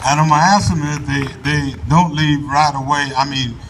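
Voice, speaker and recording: A man giving an earnest, folksy address to a crowd at an outdoor rally in a park, speaking loudly into a microphone and urging people to come closer. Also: a little high, steady tone, moderate pace. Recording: voice from far off the mic, faint background noise.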